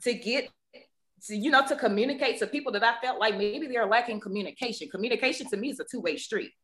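A woman with a deep Southern accent, an ICU nurse and podcast co-host, telling her story in an animated way and laughing easily. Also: quick pace. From Southern United States. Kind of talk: casual podcast chat.

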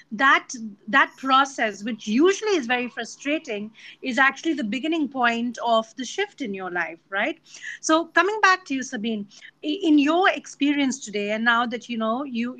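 A woman's voice: a little high, sharp tone. A woman hosting a casual interview, talking enthusiastically and affirming her guest, with many fillers like "you know". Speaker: podcast host.

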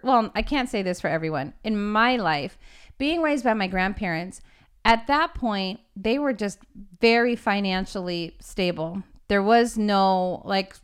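A woman's voice: medium pitch, fairly bright tone, clear, resonant tone. A woman in her forties from Los Angeles, co-hosting a casual conversation in a chatty, teasing way. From Los Angeles, California. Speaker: co-host.

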